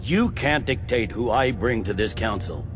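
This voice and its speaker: An actor playing a stern imperial general, clipped and commanding, in a fantasy game. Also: male voice, medium pitch, lively intonation, normal speed, big-room sound, lightly acted. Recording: prominent game music behind.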